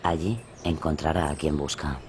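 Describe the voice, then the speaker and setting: Casting: male narrator, very low voice, scripted crime storytelling, faint ambience behind. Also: fairly even intonation, moderate pace. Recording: faint background ambience noise.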